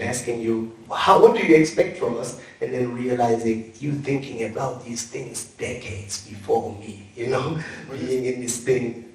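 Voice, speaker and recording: A man in a panel discussion, musing and halting as he reflects. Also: medium pitch, a little mellow. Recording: very echoey hall, distant mic.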